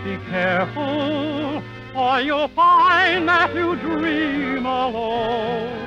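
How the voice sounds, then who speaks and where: A man crooning a slow romantic ballad in a high tenor over an orchestra, from a 1940s radio show. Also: expressive, music in the background. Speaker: singer and comedy sidekick. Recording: dull 1940s radio broadcast.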